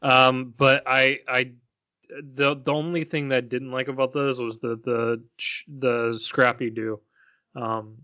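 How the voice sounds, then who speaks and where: A man co-hosting a podcast in casual chat, relaxed and thoughtful, pausing often with fillers. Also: medium pitch, clear, resonant tone, dry recording. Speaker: podcast co-host. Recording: dry, dull lo-fi podcast audio.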